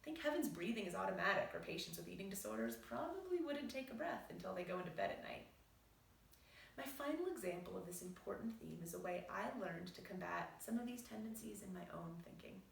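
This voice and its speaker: A woman doctor reads from her own book at an even, explanatory pace, her voice slightly gravelly. She sits a little back from the microphone, and the room adds a noticeable echo. A faint ambient hiss stays underneath. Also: medium pitch, far from the mic. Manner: measured, explanatory reading. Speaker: physician and author. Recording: roomy echo, voice set back from the mic.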